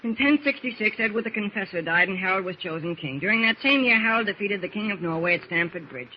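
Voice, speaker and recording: A muffled, noisy old radio recording. an earnest teenage boy with a high voice. He talks in wholesome exclamations like a plucky young adventurer of a radio serial. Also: lively intonation, fast talker. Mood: eager, sleepy. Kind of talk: 1940s radio drama acting.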